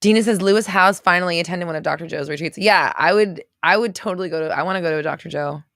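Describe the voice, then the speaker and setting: A woman in her thirties chats through a casual livestream, reading viewers' comments aloud and reacting with quick laughs and exclamations. Her voice sits a little low for a woman. The sound is dry and close, with no room to it. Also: fairly bright tone, no room sound. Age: 30s.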